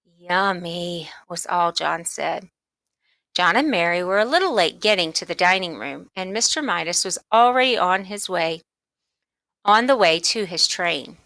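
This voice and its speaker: A woman reading a children's book aloud as scripted narration, in an expressive, emphatic way. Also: on the low side, normal speed, gravelly, dry recording. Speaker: storybook reader.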